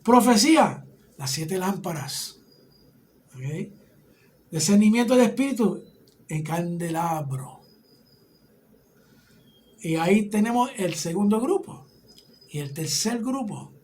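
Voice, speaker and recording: Un hombre con acento puertorriqueño que enseña una clase bíblica, explicando paso a paso y preguntando "¿ok?" a menudo. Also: male voice, high-pitched, darkish tone, slight background noise. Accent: Puerto Rican Spanish. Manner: explanatory, often checks in with "¿ok?". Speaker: Bible teacher. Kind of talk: teaching a Bible class.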